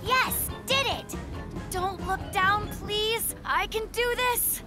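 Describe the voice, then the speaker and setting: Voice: extremely high pitch, slow. An actor voicing a cautious little polar bear who acts proud, with a childlike, hesitant delivery in a children's cartoon. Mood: anxious. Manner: hesitant, defensive. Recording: music behind the voice. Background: children's cartoon music.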